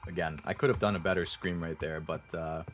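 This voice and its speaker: Deep-voiced young man rambling casually. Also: brisk, soft background music. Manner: rambling, relaxed, many fillers. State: sore throat. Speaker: streamer. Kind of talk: casual chat with viewers.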